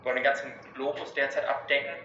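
A man from Germany, a travel blogger, talking relaxed and casual as a guest over a call, with many fillers. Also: medium pitch, quick pace. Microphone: call audio. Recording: dull echoey call audio with faint noise.

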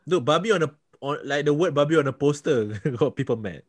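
Male co-host, slightly high lively voice, jokey sweary banter. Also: brisk. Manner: animated, joking, swears freely. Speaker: podcast co-host. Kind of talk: casual chat.

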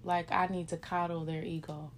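A thirty-year-old woman with a slightly low voice, chatting easily with her co-host. She talks in a relaxed, friendly way, even when she reads out a local business spot. Faint noise sits in the background. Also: normal speed. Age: 30s. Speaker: podcast co-host. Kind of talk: casual chat.

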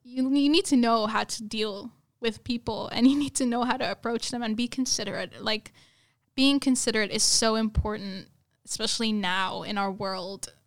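A young woman with a fairly high, clear, smooth voice speaks as an interview guest. She is earnest and effusive, talking conversationally and doubling words for emphasis. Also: steady tone, normal speed. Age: young adult.

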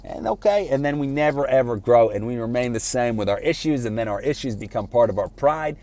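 A man giving an informal motivational lecture in a halting, conversational way, often repeating words and posing rhetorical questions. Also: mid-range voice, lively intonation, a little mellow. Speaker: speaker giving a self-improvement talk.

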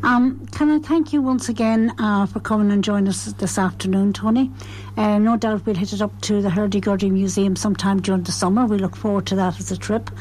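A woman hosts a radio arts programme, chatting easily and leading her guest with friendly questions. Her voice sits at a middling pitch, with a faint hum in the background. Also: fairly even intonation, a little fast, a little mellow, low-quality recording. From Ireland. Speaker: radio arts show host. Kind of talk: casual radio interview.

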